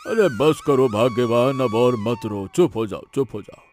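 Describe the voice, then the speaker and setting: A man acts out an elderly village father in a moral cartoon. He is weary and fatalistic, lamenting his lot at a medium male pitch. Music plays softly behind him. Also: normal speed, close to an everyday voice. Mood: weary, consoling. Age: older. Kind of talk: Hindi moral cartoon story dialogue.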